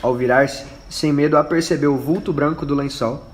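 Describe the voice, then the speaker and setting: A man's voice: fairly high pitch, steady tone, moderate pace. A man narrating a scripted radio drama as its storyteller. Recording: echoey room with faint noise.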